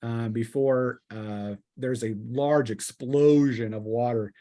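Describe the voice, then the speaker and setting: A man narrating a tabletop roleplaying game as game master, improvising in a halting way with pauses mid-sentence. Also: medium pitch, fairly even intonation, warm tone.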